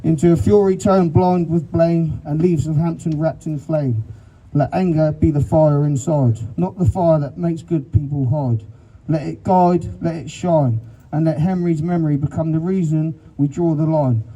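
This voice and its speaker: A man's voice: a little high, moderate pace, lo-fi sound. A man reciting a rhyming poem to a crowd at a protest rally. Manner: steady rhythmic recitation.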